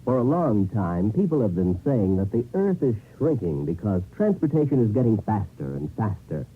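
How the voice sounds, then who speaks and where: An American man reading a scripted public service announcement on radio in a measured, formal manner. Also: fairly low pitch, big pitch swings, slight background noise. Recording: vintage narrow-band radio recording.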